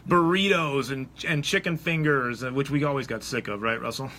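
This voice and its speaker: A man's voice: medium pitch, moderate pace, pushed and bright, slight background noise. A man co-hosting a radio show, joking and teasing in casual banter. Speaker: co-host and bass player.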